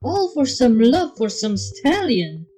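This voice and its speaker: A woman's voice: fairly high pitch, sing-song, takes it slowly, dark tone, slight background noise, pitched above the performer's own voice. A woman voicing a blunt, world-weary pony with a dry manner in a fan story reading. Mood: indignant.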